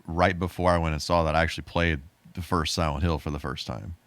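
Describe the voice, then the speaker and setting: A man with a deep, low voice speaks in a very dry recording. He chats casually as a podcast co-host, sharing his own experiences in an everyday conversational way. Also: fairly even intonation, fast, a bit bright, dry recording. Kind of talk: casual chat, personal anecdote.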